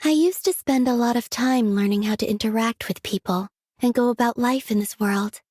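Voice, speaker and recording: An actress voicing a gentle, aloof young woman in a video game, with a soft breathy voice and unhurried, wistful, quietly curious delivery. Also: fairly high pitch, no room sound, studio quality, close to an everyday voice. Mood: gently hesitant. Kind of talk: scripted game character voice lines.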